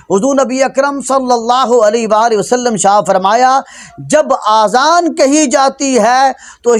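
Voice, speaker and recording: A man preaching an Islamic sermon as a rebuttal, emphatic and admonishing. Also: extremely high pitch. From Pakistan. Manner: emphatic, admonishing, rhetorical questioning. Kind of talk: religious sermon, argumentative rebuttal.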